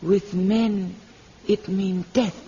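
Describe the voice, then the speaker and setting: A man's voice: high voice, steady tone, extremely slow, dark tone, husky, full character voice. An actor playing an ominous, grave tribesman in a vintage radio serial. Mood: foreboding. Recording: dull vintage radio recording.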